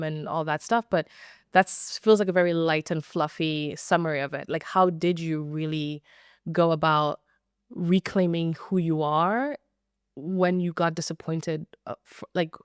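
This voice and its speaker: An American woman hosting a podcast, asking questions in a relaxed conversational way and often affirming with "yeah". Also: deep voice, clean tone, no room sound, studio quality. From United States. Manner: warm affirming listener, frequent "yeah". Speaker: podcast host. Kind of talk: conversational interview.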